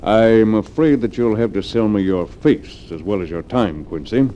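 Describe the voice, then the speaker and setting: An actor playing a domineering, scheming wealthy fugitive in middle age, brusque and commanding, in 1940s radio drama style. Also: male voice, lowish voice, moderate pace, dark tone, a little hiss or hum, lightly acted. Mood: brisk, commanding. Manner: brusque, commanding, self-assured. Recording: dull, lo-fi 1940s radio recording.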